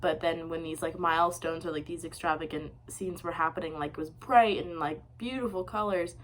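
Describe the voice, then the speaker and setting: A young woman giving a casual, unscripted film review, talking in a rushed way full of "like" and "um". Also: low-pitched, steady tone, a little hiss or hum, rough recording. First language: English. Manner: rushed, lots of "like" and "um" fillers.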